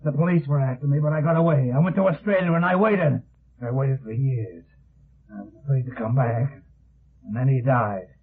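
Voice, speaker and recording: An actor playing a vengeful, bitter older killer in a 1950s radio drama, ranting melodramatically on a muffled vintage recording. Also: male voice, mid-range voice, normal speed, breathy edge, lightly acted.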